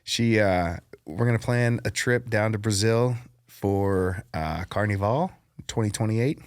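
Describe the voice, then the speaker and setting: A man with a low, deep voice tells his story at an easy pace and laughs often. The sound is dry and close, with no room behind it. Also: measured pace, no echo. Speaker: podcast guest. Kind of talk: casual chat.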